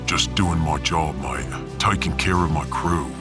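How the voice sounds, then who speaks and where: A man voicing a big, gruff, battle-hungry spaceship crewman with a blunt, matey manner in a sci-fi audiodrama. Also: a bass voice, a little slow, music in the background. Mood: gruffly confident. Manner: blunt, terse, matey slang.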